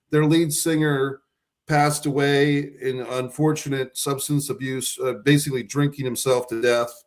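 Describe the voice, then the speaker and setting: A man co-hosting a podcast, talking through his favorite songs in a loose, rambling way with frequent restarts. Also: medium pitch. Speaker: podcast co-host. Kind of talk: casual chat about favorite songs.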